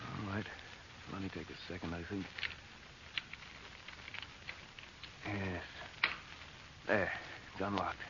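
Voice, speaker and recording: An actor playing a desperate, guilt-ridden amateur safecracker, hesitant and nervous, in an old radio horror drama. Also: male voice, sits low, level tone, measured pace. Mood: reluctant, resigned. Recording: muffled, very noisy old radio recording.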